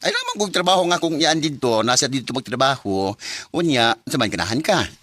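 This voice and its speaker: Woman playing a bright, animated streetwise friend. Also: a bass voice, a little fast, no room sound, exaggerated voice. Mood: chatty, helpful. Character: chatty, streetwise female friend egging others on. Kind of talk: Filipino radio drama acting.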